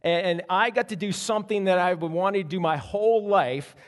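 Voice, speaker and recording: High-voiced pastor preaching in a big hall. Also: male voice, moderate pace. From Canada. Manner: animated storytelling with frequent restarts. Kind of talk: sermon. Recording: long hall reverb.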